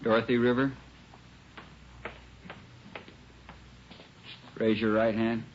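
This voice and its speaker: An American man playing a dispassionate coroner in a 1940s radio drama, putting formal, measured questions to witnesses. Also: lowish voice, flat delivery, close to an everyday voice. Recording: muffled, noisy 1940s radio recording.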